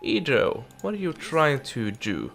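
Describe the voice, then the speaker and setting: A man's voice: on the high side, big pitch swings, measured pace, creaky, a bit bright, faint music behind. A young man with a stuffy nose and nasal voice, chatting casually while commenting on a strategy game. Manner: chatty, muttering to the game, mild swearing. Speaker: gameplay commentator. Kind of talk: let's play commentary.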